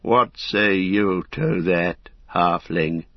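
A grim, proud old lord in mourning, grave and cold in his speech, as a man reads him in a storybook. The low voice sits close and dry, with a slightly dull, lo-fi sound. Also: drawn-out words, gravelly, dry recording, full character voice. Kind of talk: audiobook character voice.

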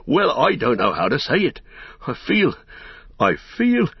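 A man's voice: fairly low pitch, very animated, a little slow, husky, no echo, lo-fi sound, full character voice. Man voicing Sam, an earnest, easily amazed hobbit. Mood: overjoyed. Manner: exclaiming, wondering, plain-spoken.

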